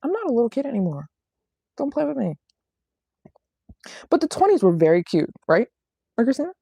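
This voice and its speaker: A woman's voice: a little high, big pitch swings, normal speed, gravelly, dark tone, husky, dry recording. A woman in her late twenties chatting casually and playfully on a livestream as a travel vlogger, often repeating phrases for emphasis. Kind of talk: casual livestream chat answering viewer questions. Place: small apartment living room in Japan.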